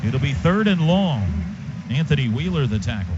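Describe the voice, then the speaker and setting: High-pitched male play-by-play man, dull noisy feed. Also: big pitch swings, normal speed. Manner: clipped, urgent calls in short phrases. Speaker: radio play-by-play announcer. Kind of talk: live football radio commentary. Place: radio booth at a football stadium. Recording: dull radio feed over stadium noise. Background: stadium ambience.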